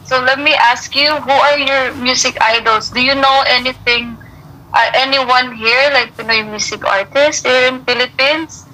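A woman hosting an online interview, speaking slowly and deliberately. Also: high voice, fairly even intonation, sharp tone, rough recording. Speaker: host. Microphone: video call.

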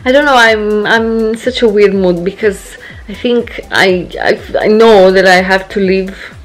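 A woman's voice: mid-range voice, unhurried, faint music behind, low-quality recording. Chatty Romanian-accented woman vlogging. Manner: chatty, rambling, expressive, self-interrupting. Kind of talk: casual vlog chat. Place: living room, indoors, sitting on a sofa. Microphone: phone camera held at arm's length.

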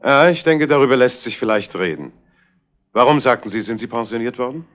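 A man plays a cool, wary boss of a shady detective agency in a 1970s radio crime drama. His mid-pitched voice is self-assured, with very varied intonation. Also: animated, fairly quick, fairly bright tone, lightly acted. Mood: guarded. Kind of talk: 1970s German radio play acting.

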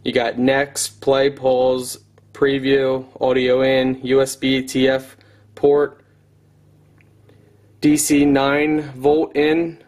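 A man's voice: medium pitch, monotone, very slow, darkish tone. A man in his thirties doing a casual product unboxing review, talking as a reviewer at home. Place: room at home.

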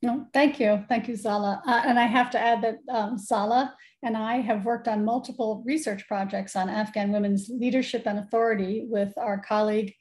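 Mellow middle-aged woman presenting. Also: a little high, steady tone, moderate pace, few pauses. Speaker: seminar presenter. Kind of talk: introducing an online seminar presentation. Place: indoor room, video call.

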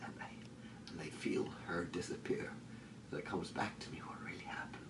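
A man acting a dramatic stage monologue as a grieving, haunted man, speaking haltingly and intensely with repeated phrases. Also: deep voice, steady tone, whispered. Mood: haunted, hushed grief. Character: grieving haunted man tormented by dreams of lost woman. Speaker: stage actor. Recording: echoey room, distant microphone.

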